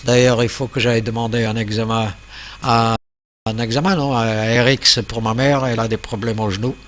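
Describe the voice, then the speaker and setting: A man's voice: mid-range voice, level tone, brisk, fairly bright tone. A man from Portugal chatting casually as an amateur radio operator, explaining things in a friendly way.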